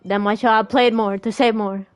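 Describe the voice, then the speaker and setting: A woman game streamer chatting casually in a slangy, halting, rambling way. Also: a little high, fairly even intonation, clean tone, dry recording, soft background music. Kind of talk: casual gaming stream chat.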